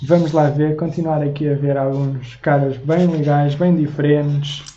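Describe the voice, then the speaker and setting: A man's voice: fairly high pitch, steady tone, measured pace, mellow, muffled recording, soft background music. A young man in his twenties with a Lisbon Portuguese accent, chatting casually on a live stream as he thanks viewers by name. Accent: European Portuguese, Lisbon. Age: 20s. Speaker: live-stream host and artist. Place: small home room indoors.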